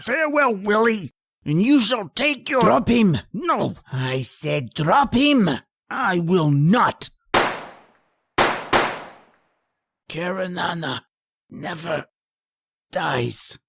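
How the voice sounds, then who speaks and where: An actor playing a two-faced killer who poses as a friend, theatrical and taunting, in an old-time radio thriller. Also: male voice, sits high, lively intonation, slow, creaky, a bit bright, husky, dry recording, full character voice. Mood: triumphant.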